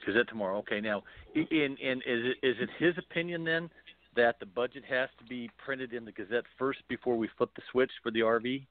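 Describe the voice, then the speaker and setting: A man calling in to a show by phone, casually asking the hosts questions in a halting, hesitant way. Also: mid-range voice. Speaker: caller. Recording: muffled lo-fi phone call.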